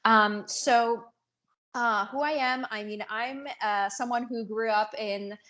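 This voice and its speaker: A woman answering casually as an interview guest, earnest and somewhat halting as she searches for words. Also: a little high, steady tone, a bit bright, lo-fi sound. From North Africa and France. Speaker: podcast guest, business coach.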